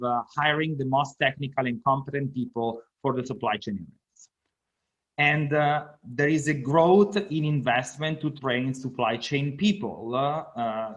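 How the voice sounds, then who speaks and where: Italian-accented male academic guest, mid pitch, measured explainer, slightly dull remote-call sound. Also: a bit bright. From Italy. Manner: careful explanatory, frequent uh fillers. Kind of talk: podcast interview answers.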